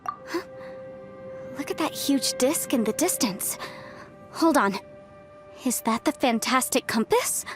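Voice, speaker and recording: Young female legal adviser, video game, high animated voice, unhurried, confident, perky, theatrical. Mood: curious, amazed. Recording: game music behind the voice.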